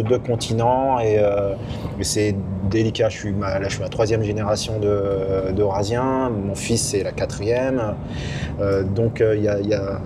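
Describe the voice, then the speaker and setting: A man from France, an actor guest on a podcast, talking in a relaxed, halting way. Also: fairly low pitch, noisy background. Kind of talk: casual podcast conversation.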